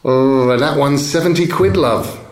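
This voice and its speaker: Awkward, kindly English shopkeeper, done by a male narrator, colloquial and a bit hesitant, lifted pitch, echoing audiobook sound. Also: moderate pace, close to an everyday voice. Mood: gently apologetic. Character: apologetic, kindly, awkward male British bookshop keeper.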